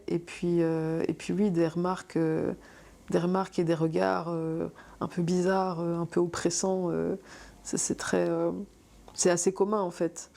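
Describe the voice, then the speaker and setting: Mellow, halting young woman. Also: on the low side, gravelly. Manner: halting and thoughtful, many fillers. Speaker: interviewee. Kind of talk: personal testimony.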